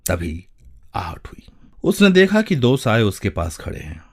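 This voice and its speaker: Slow, expressive man narrating a story. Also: fairly low pitch, slightly rough. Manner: expressive, measured delivery with dramatic pauses. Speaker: voice-over narrator. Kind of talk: dramatic Hindi short-story narration.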